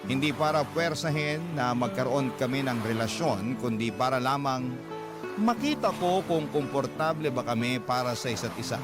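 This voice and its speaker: A man's voice: mid-range voice, close to an everyday voice. A man with a Filipino accent playing a tender-hearted letter sender, narrating a love story in a sentimental, confiding radio-drama style. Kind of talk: scripted first-person story narration.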